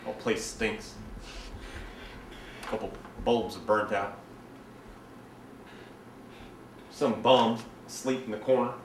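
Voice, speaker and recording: A man performing a dramatic monologue as a self-righteous, resentful young man, telling his story in a halting, colloquial, confiding way. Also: lowish voice, steady tone. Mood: tense, hushed. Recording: echoey room, distant mic, faint noise.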